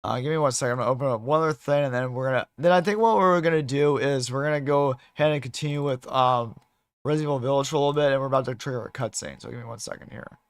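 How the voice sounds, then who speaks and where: A man with a slightly hoarse voice chatting casually as a livestream host, in a halting way with repeated and restarted phrases. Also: mid-range voice, no echo, studio quality.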